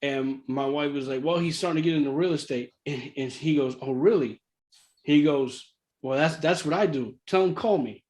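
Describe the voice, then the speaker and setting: Higher-voiced man telling a casual story. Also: normal speed, a little mellow. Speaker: real estate investor sharing his story.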